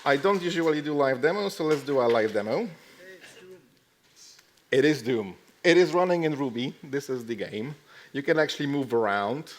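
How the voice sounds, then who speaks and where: Male conference speaker, mid-pitched, casual lightning-talk style, deadpan humour, explaining tech to a live audience. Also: normal speed. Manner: dry, joking delivery with deadpan asides.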